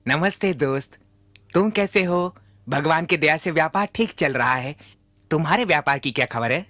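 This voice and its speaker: Smooth-talking trader, dry close sound. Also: male voice, on the high side, lively intonation, moderate pace, bright, forceful tone, dry recording. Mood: chummy, ingratiating. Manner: deferential, ingratiating. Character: smooth-talking, deferential male trader protesting his innocence. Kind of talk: Hindi cartoon voice acting.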